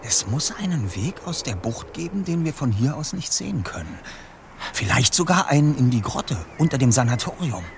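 An actor playing a clever, determined young detective in an audio drama. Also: male voice, medium pitch, very animated, normal speed, gravelly, a little hiss or hum. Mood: pondering. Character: determined young male detective leading his companion, clever.

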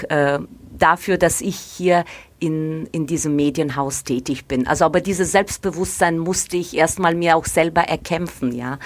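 A middle-aged woman from Ukraine with a Ukrainian accent, an editor speaking thoughtfully in an interview, pausing often. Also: low voice. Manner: thoughtful, measured, frequent hesitations. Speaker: guest, newspaper editor. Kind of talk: reflective interview talk.

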